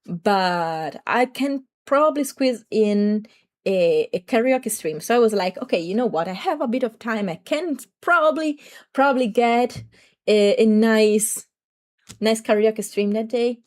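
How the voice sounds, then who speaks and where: A young adult woman streamer with a slightly raspy throat, chatting to viewers in a rambling, talkative way. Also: a little high, lively intonation, measured pace. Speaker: VTuber streamer. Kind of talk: casual livestream chat.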